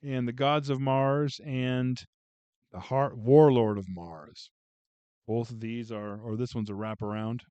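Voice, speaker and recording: A man's voice: mid-range voice, fairly even intonation, slow pace, warm tone, smooth, no echo, muffled recording. A man casually going through a book haul on a video, chatting and joking with viewers as he reads out titles. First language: English. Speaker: booktube vlogger.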